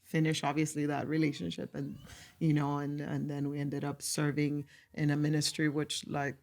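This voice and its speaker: A woman from the Dominican Republic, a podcast guest, telling family stories in an animated way, laughing often. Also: deep voice, normal speed, vocal fry. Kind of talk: casual storytelling.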